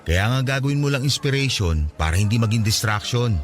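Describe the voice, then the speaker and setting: An actor playing Raj, a caring young man giving his childhood friend advice, in a radio drama with soft music underneath. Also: medium pitch, lively intonation, fairly quick, natural voice.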